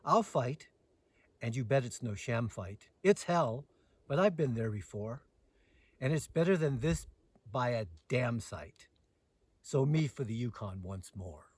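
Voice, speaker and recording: A man reading poetry aloud outdoors as host, with dramatic phrasing and lines that trail off softer. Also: medium pitch, animated, slow pace, pauses often, slightly rough, dry recording. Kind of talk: poetry recitation with casual introduction. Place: outdoors in the mountains.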